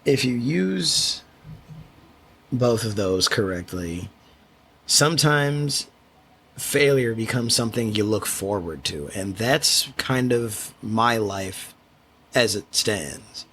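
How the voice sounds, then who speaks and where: A man with a quiet voice, hosting a solo podcast and talking in a reflective, rambling way with frequent restarts. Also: fairly low pitch, expressive, takes it slowly, slightly rough. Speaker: podcast host. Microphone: studio microphone.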